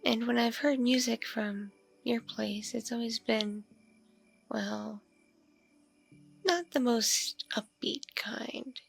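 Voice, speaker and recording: A woman playing a lonely, flirtatious vampire neighbor in an intimate ASMR roleplay, speaking in a breathy, creaky murmur with hesitant, drawn-out pauses. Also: on the high side, soft background music, full character voice. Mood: gently probing. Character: lonely, flirtatious, awkwardly polite pale vampire woman next door. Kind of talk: ASMR roleplay, intimate one-sided dialogue.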